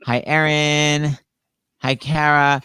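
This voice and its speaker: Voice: mid-range voice, little pitch movement, slow pace, sharp tone, smooth, dry recording. A playful host introducing and teasing guests on a video call show. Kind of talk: casual show hosting.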